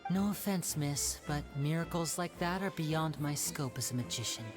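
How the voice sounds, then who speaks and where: A man's voice: a little high, normal speed, over music, lightly acted. A man voicing a polite, composed young male magician in a video game. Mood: polite, guarded. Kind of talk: dramatic video game voice acting.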